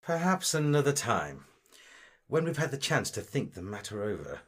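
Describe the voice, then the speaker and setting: A man's voice: medium pitch, very animated, moderate pace, fairly bright tone. A man playing a vain, snobbish amateur actor in a comic audio drama, with affected, gushing, pompous delivery. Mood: wary.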